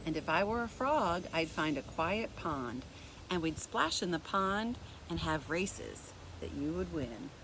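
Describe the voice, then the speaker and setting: A woman reading a picture book aloud as a story time host, speaking deliberately and expressively to young children. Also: on the low side, background noise. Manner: deliberate, expressive, addressing young listeners directly.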